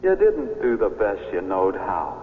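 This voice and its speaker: A dead American soldier haunting an old friend in a vintage radio play. He speaks slowly and reproachfully at a middling pitch, his words trailing long echoes. The sound is tinny. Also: wildly swooping pitch, vocal fry, heavily acted. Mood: accusing, reproachful. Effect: ghostly reverb. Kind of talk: 1970s radio drama acting. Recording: tinny radio, long echo, faint noise.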